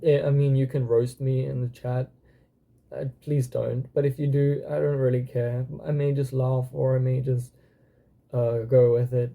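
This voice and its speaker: A man's voice: mid-range voice, level tone, moderate pace, creaky, warm tone. A man in his twenties with a South African accent, talking casually to camera in a hesitant way with many fillers and restarts, sometimes swearing. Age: 20s. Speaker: channel host. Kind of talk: casual personal vlog.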